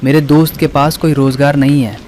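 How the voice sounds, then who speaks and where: A man playing an earnest tea seller in an animated story, reasoning on behalf of a friend. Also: medium pitch, fairly quick, a little hiss or hum, lightly acted.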